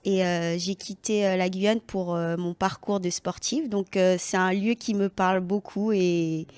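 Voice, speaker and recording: A woman's voice: medium pitch, fairly even intonation, a little slow, darkish tone, clear, resonant tone, no room sound. A woman from French Guiana giving casual answers as an interview guest. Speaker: podcast guest, elite sambo athlete.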